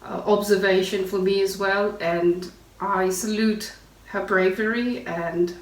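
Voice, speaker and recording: A woman's voice: mid-range voice, fairly even intonation, measured pace. A woman hosting an interview, asking friendly questions with many fillers. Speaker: host. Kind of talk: casual interview. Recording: echoey room, mic at a distance.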